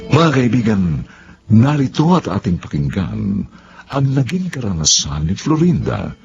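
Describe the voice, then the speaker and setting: A man's voice: lowish voice, huge pitch swings, a little slow, gravelly. A man announcing a radio drama in a solemn, theatrical scripted narration. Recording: dull recording under loud music. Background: music.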